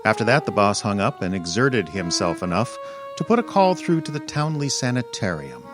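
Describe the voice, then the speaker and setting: A wry, put-upon detective's assistant played by a man narrates a radio mystery. His voice is lowish and deadpan, with loud music playing under it. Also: moderate pace. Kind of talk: old time radio first-person narration.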